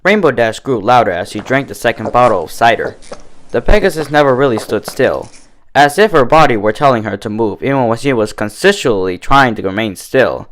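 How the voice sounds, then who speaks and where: A man narrates a story in a lively voice with plenty of pitch movement. He reads scripted prose aloud as a fan fiction reader. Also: brisk.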